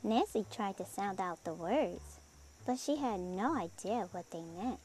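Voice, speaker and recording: A woman narrating a children's picture book aloud in an expressive storytelling style. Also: medium pitch, unhurried, slight background noise. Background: music under the voice.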